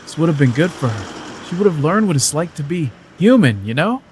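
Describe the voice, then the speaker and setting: A man acts as an eager young news photographer in an audio drama. His voice is fairly high and swings about, boyish and earnest, over faint noise. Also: fairly quick. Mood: concerned. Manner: animated, apologetic, earnest.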